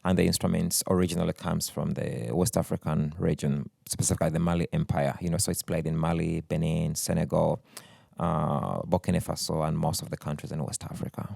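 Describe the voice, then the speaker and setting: A man's voice: very deep voice, little pitch movement, creaky, dark tone, dry recording. A man from Uganda whose first language is Luganda, a musician talking thoughtfully with many fillers in a radio studio interview. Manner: thoughtful, unhurried, with frequent fillers. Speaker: musician guest.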